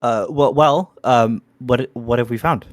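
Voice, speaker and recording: A man's voice: mid-range voice, a little slow, no echo, clean studio sound, close to an everyday voice. A man playing an awkward, polite valet in a tabletop game, hesitant and stammering. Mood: nervous, polite.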